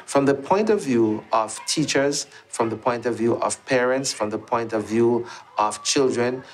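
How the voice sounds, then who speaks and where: A man's voice: mid-range voice, measured pace, faint music behind, poor audio. A man on the school staff speaking steadily and proudly in an interview. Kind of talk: reflective interview.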